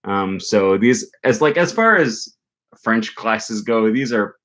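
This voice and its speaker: A man's voice: lowish voice, lively intonation, slow, a bit bright. A young man talking casually on a live stream from a small room, rambling with many ums and restarts. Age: young adult. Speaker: language learning streamer. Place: small room with bookshelf, indoors. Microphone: webcam at desk.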